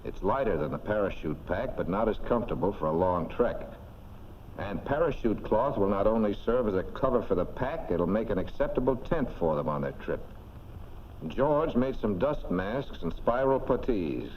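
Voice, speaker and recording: Male narrator, 1940s instructional film, fairly low, punchy folksy delivery, dull and slightly noisy audio. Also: lively intonation, moderate pace. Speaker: narrator of a military training film.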